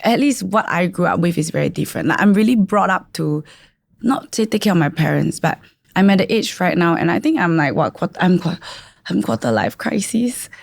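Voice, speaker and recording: A young Malaysian woman in her twenties talks frankly on a podcast. Her voice is lowish, with a gravelly fry. The sound is close and dry. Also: lively intonation, brisk, no echo. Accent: Malaysian English. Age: 20s. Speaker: podcast co-host. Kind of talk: casual podcast discussion.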